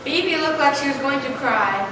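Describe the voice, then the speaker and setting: Young female story narrator, school stage reading, raised pitch, noisy distant sound. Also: lively intonation, normal speed. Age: young adult or younger, school pupil. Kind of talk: scripted story narration, readers' theater. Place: large echoey room. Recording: echoey noisy hall, distant mic.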